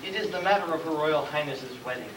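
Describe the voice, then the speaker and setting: A man playing a deferential, long-winded royal chancellor in a stage comedy, formal and halting as he picks his words. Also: a little high, close to an everyday voice. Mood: careful, tactful. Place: school stage, live play performance. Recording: distant, noisy, echoey room recording of play.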